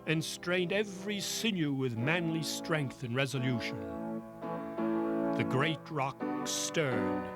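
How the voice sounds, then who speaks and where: A man narrating a children's story as a storyteller, in a measured, theatrical manner. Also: a little high, loud music behind the voice.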